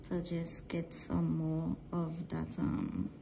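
A woman from Guyana with a mixed Guyanese-Trinidadian accent, talking through a nail art tutorial in a relaxed, halting way with many fillers, often dropping to a near whisper. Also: deep voice, gravelly, slight background noise. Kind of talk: casual voice-over tutorial.

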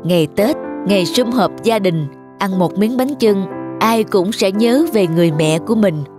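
A man's voice: sits high, moderate pace, music in the background, close to an everyday voice. A man playing an affectionate father and devoted son in a cartoon, talking in an earnest, reflective way. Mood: tender storytelling. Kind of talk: animated cartoon dialogue and storytelling.

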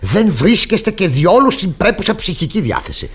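An actor playing a moralising, easily shocked middle-aged pastor in a classic radio drama, pompous, halting and exclamatory. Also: male voice, sits high, huge pitch swings, fairly bright tone, husky, full character voice. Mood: admonishing.

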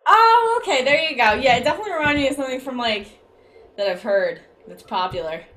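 A woman's voice: a little high, quick pace, a bit bright. A young woman, bubbly and effusive, chatting casually as she hosts an online music gathering from a room at home over a laptop. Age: young adult. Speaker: music teacher hosting an online open mic. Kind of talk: casual chat on a video call. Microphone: laptop on a video call.

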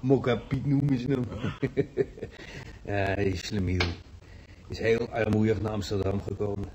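A man's voice: on the low side, steady tone, normal speed, noisy background, natural voice. An actor playing an easygoing, good-humoured male market trader in a hospital bed, in a radio drama. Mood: amused. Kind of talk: old radio drama acting.

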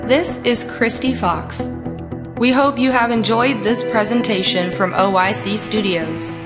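A woman's voice: medium pitch, measured pace, music in the background. A woman reading a scripted announcement as a presenter closing a recorded program.

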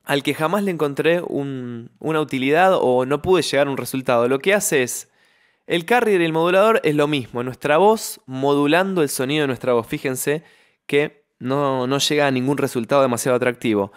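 A young man hosts a music production tutorial. His voice sits a little high. He explains step by step, speaking close to a microphone in a small home studio. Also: moderate pace. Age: young adult. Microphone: close studio microphone on a stand, with headphones.

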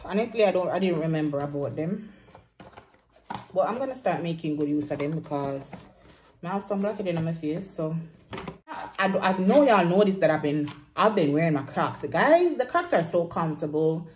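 Young Jamaican woman, home vlogger, lowish voice, relaxed chatty delivery, phone at arm's length. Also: normal speed, slight background noise. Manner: chatty, relaxed, slips into patois. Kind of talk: casual vlog chat to camera. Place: small home bathroom and bedroom, indoors. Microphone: phone camera at arm's length.

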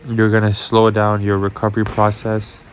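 A man's voice: on the low side, monotone, normal speed, slight background noise. A young man with a cold talking viewers through his boxing workout in a voice-over, coaching them as guys. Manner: instructive, addresses viewers as guys. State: has a cold, congested. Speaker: amateur boxer and fitness vlogger.

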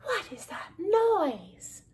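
A tired little lady mouse from a storybook, played by a woman, high and plaintive. She pleads in drawn-out, swooping whines. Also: sing-song, a little mellow, full character voice. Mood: annoyed, sleepy. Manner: plaintive, whining, drawn-out words. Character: weary female mouse pleading for sleep. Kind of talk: children's storybook read-aloud.

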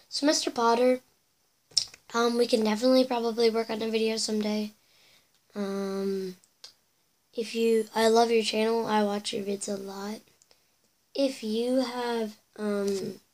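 A woman's voice: mid-range voice, a little mellow. A teenage girl chatting to her webcam in her bedroom, rambling through viewer comments with whispered asides, her voice a bit cracky from losing it. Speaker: YouTuber reading viewer comments. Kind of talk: casual chat to camera. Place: bedroom indoors.

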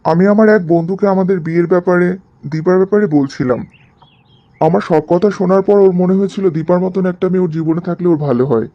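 A man's voice: sits high, quick pace, dull audio, lightly acted. A man playing an easygoing, affectionate young husband in a cartoon drama, coaxing and explaining. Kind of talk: animated cartoon drama dialogue.

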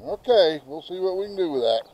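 A man's voice: high-pitched, animated, brisk, dark tone, dull audio. A middle-aged man with an American accent chatting casually and folksily in a homestead vlog, filming himself outdoors on a handheld camera. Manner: folksy, chatty, talks to his birds. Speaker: homestead vlogger. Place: outdoors in a snowy farmyard. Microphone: handheld camera at arm's length. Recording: noisy outdoor camera audio, birds clucking.

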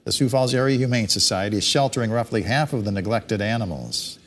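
A man reading local news stories as a television studio anchor, in a steady, measured delivery. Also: lowish voice.

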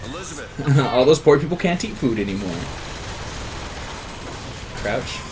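A man playing a video game, giving casual, excitable commentary full of swearing and self-mocking banter. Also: medium pitch, unhurried, crowd noise. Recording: faint game noise behind voice.

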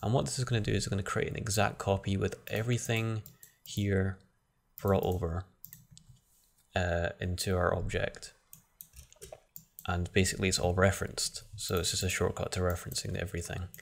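Male tutorial host, deep and gravelly, flat delivery, casual think-aloud style. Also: moderate pace, pauses often. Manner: relaxed, thinking aloud with frequent restarts. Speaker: software tutorial instructor. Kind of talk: screen-recorded software tutorial.

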